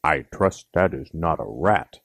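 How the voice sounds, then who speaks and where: Storybook gentleman frog, performed by a man: fussy, genteel, extremely low and husky, formal in his phrasing. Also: expressive, measured pace, dry recording, clean studio sound, full character voice. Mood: wary. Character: small gentleman frog angler, fussy and proper.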